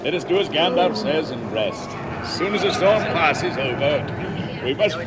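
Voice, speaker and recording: A man's voice: on the high side, animated, normal speed, lightly acted. A man playing a bossy, hearty dwarf leader in a vintage radio drama, commanding with little hems and haws. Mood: reassuring. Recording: very noisy vintage radio recording.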